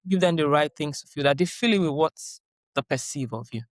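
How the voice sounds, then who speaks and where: A woman guest in a conversational interview, reflective and calm, repeating her key phrases for emphasis. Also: sits low, fairly quick, no room sound, clean studio sound. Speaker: guest, doctoral researcher.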